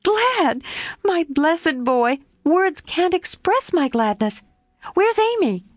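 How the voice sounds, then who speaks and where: A woman reading aloud and voicing an impulsive, excitable young woman, with exclaiming, high and animated lines. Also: takes it slowly, no echo, clean studio sound, full character voice. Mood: astonished, joyful.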